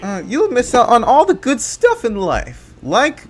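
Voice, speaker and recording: A man's voice: very high-pitched, wildly swooping pitch, measured pace, soft background music. A man streaming a video game, rambling playfully and tripping over his words. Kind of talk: casual gameplay commentary.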